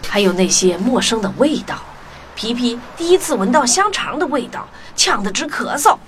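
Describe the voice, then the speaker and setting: A woman's voice: on the high side, big pitch swings, moderate pace, noisy background. A woman narrating a children's story expressively. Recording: music under the voice.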